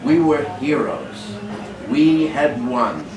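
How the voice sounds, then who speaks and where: In a reverberant room with people chattering behind, an older man recites a poem. He is some distance from the microphone. His delivery is deliberate and halting, as at an informal reading among friends. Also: medium pitch, crowd noise. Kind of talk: poetry reading at a social gathering. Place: indoors, large room at a social gathering. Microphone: distant camera microphone. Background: people chattering and laughing.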